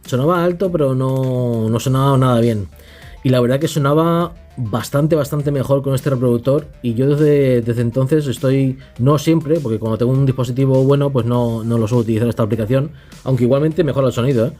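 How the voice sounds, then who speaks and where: A man with a Castilian Spanish accent talks through a tech review in an informal, off-the-cuff way. His voice is mid-pitched and mellow, with music playing faintly behind him. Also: a little fast. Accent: Castilian Spanish from Spain. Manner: informal, unscripted, tags phrases with questioning checks. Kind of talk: casual spoken app review.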